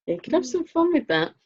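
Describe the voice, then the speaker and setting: A woman's voice: a little high, a little mellow, husky. Chatty Northern English woman, dull audio. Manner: chatty, rambling, dry humor. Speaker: co-host. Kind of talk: casual chat.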